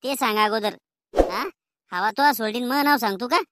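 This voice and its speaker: A woman's voice: fairly high pitch, moderate pace, very noisy, lightly acted. A woman voicing a cheeky, quarrelsome village schoolboy in a comedy cartoon, with rural Maharashtrian slang and a taunting, argumentative way of talking.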